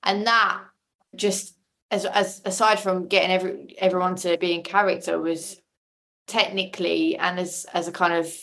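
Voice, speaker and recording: A woman's voice: on the low side, little pitch movement, normal speed, vocal fry, fairly bright tone. A woman speaking casually as an interview guest, explaining things emphatically and often repeating words for emphasis. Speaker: audio drama maker, podcast guest.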